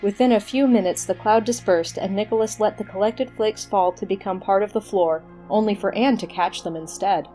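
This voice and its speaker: A woman's voice: medium pitch, moderate pace, hardly any pauses, dark tone, soft background music. A woman working as a narrator, reading scripted material for an audio story production.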